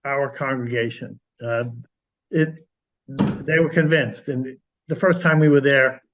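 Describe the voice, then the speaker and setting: An older man with an American accent shares a reflective personal account. His medium-pitched voice is measured and halting. The audio is a little dull and lo-fi. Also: fairly even intonation, a bit bright. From United States. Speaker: congregation member.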